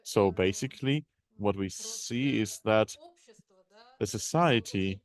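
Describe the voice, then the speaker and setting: A man with a fairly low voice works as a live interpreter at a seminar. He builds his sentences piece by piece, haltingly, often repeating a word before moving on. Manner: halting, piecing phrases together with repeats.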